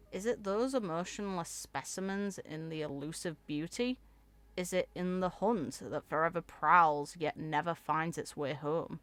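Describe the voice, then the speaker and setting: A woman reading video game story text aloud in an expressive, theatrical way, as a content creator. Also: on the low side. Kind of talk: reading game lore aloud with reaction asides.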